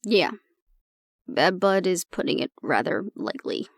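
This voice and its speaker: A woman playing an anxious young woman with a tough front and a soft core, confiding casually and with swearing in an intimate audio roleplay. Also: fairly low pitch, big pitch swings, unhurried, vocal fry, dry recording, clean studio sound, exaggerated voice. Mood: bitter, resentful.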